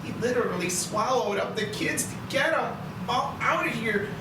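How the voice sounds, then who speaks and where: A woman's voice: fairly high pitch, expressive, moderate pace, natural voice. A woman playing a shaken, foul-mouthed young girl with some defiance in a screenplay reading. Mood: dazed, horrified. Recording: very echoey, distant, clear noise.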